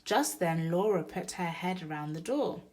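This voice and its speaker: A woman's voice: lowish voice, animated, normal speed, darkish tone. A woman storyteller reading a picture book aloud expressively for young children.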